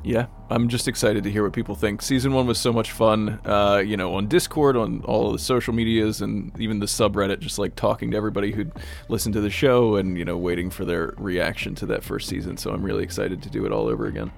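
Low creaky-voiced man chatting. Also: fairly even intonation, fast. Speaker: podcast producer and host. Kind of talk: casual chat.

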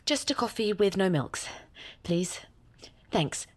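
A woman's voice: medium pitch, lively intonation, slow, darkish tone. Hesitant young British woman. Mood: tense, polite. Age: young adult. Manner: hesitant, guarded, clipped. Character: nervous, awkward young woman visiting her parents.

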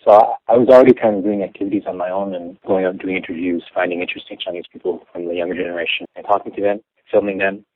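Young man from Canada, very low voice, measured explaining, dry sound, phone-line quality. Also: fairly even intonation, no room sound. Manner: measured, explanatory, with some restarts. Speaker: interview guest. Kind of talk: thoughtful interview answers.

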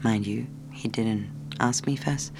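A young woman with a very deep voice, quietly bitter and dryly sardonic in an intimate audio drama. Her is understated, and noisy ambience sits behind her. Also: slow pace, exaggerated voice. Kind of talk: naturalistic audio drama acting and intimate narration.

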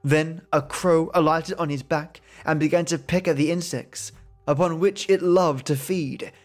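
A man reading an old folk tale aloud in a calm, steady storytelling voice. His pitch sits a little high. Faint music plays underneath. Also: unhurried. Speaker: podcast host reading folk tales. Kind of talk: storytelling narration.

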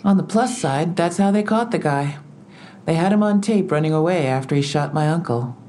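Calm police investigator in an audio drama, low dark voice, dry and matter of fact. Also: female voice, normal speed, a little hiss or hum. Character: composed, wry woman police investigator from the city. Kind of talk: full-cast audio drama acting.

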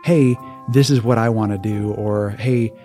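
A man from Hawaii, a podcast guest telling relaxed, casual stories and laughing easily. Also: on the low side, a little slow, faint music behind. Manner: relaxed, laughs often, restarts words. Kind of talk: casual interview storytelling.

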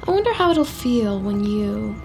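An actress in an audio drama playing a composed, ambitious office schemer who talks in an articulate, dramatic, commanding way. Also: female voice, medium pitch, very animated, a little slow, vocal fry, music in the background. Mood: smugly villainous. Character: composed, calculating, ambitious female office schemer with theatrical flair.

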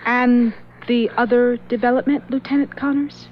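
A woman's voice: a little high, fairly even intonation, moderate pace, lightly acted. An actress playing a wary, insistent young woman in a radio drama, questioning the police. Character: anxious but insistent young woman, Miss Sims. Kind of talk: 1970s radio drama acting. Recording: dull old radio broadcast recording, faint hiss.

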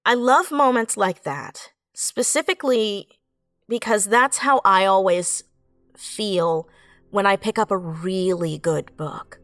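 A woman's voice: medium pitch, slow, a bit bright, dry recording, studio quality, soft background music. A woman in her late twenties speaking earnestly and effusively as a writer in a reflective interview. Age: late 20s. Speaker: audio drama writer and voice actor.

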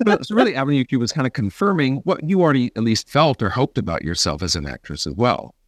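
A man's voice: medium pitch, very animated, fast, no room sound, studio quality. A man conducting a conversational interview, asking questions and chiming in with casual remarks.

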